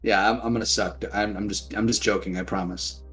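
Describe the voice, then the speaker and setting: A man's voice: fairly low pitch, fairly even intonation, brisk, fairly bright tone, faint music behind. A man running a tabletop game, chatting casually with his players after a session, joking and swearing freely. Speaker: game master. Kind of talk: casual post-game chat.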